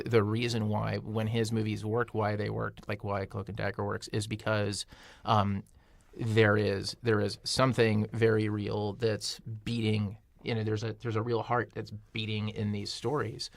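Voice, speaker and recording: Wry low-pitched man, dry close recording. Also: flat delivery, moderate pace, gravelly, no echo. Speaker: podcast panelist. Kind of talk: casual panel chat about films.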